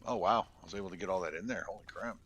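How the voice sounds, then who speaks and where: A man's voice: sits low, very fast, fairly bright tone, slightly rough. A man streaming a game, chatting casually with viewers in a rambling way and muttering under his breath between remarks. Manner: rambling, talks to chat while playing, drops into mutters. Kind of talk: casual live-stream chat. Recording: dull lo-fi stream audio.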